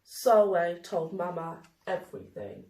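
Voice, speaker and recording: A woman reading a children's picture book aloud in an expressive, deliberate storytelling manner. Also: fairly low pitch. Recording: roomy, echoey indoor sound.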